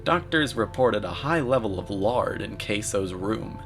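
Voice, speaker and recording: A man delivering scripted commentary in a deadpan, mock-serious manner. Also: fairly low pitch, a little slow. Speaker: narrator, video commentator. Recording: voice-over with music underneath. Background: music.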